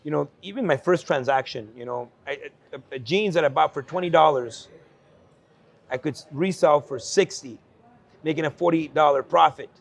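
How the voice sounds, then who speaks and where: A man in his forties, originally from El Salvador, talks through his life story in an earnest, unpolished way, full of fillers and quick laughs. His voice is gravelly and rough-edged, sitting somewhat high for a man. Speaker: guest entrepreneur. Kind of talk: candid conversational storytelling. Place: investment conference.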